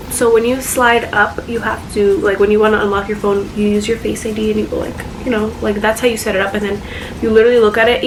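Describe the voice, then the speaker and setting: A woman's voice: mid-range voice, noisy background. A young woman vlogging casually about her phone apps, chatty and full of fillers, her voice slightly congested. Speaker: YouTuber. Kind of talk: casual phone tour vlog.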